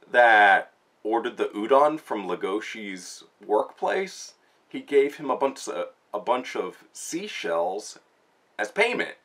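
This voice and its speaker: A man with a fairly low voice talks casually, as a reviewer on a vlog would. He rambles in a chatty way and sounds openly fond of what he is discussing. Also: fairly bright tone. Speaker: book and manga reviewer.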